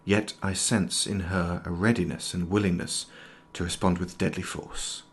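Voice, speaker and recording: Guy doing a low husky 1880s doctor for audio drama, super formal wordy way of talking, bookish. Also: level tone, moderate pace. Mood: grave, introspective. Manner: precise, ornate, old-fashioned diction. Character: erudite, formal 19th-century doctor, inwardly fearful, sharp-eyed. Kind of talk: scripted audio drama, journal narration and dialogue.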